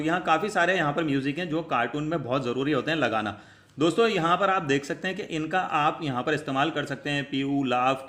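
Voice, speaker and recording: A man's voice: a little high, fairly bright tone. A man presenting a step-by-step app tutorial in a chatty, repetitive, explanatory way.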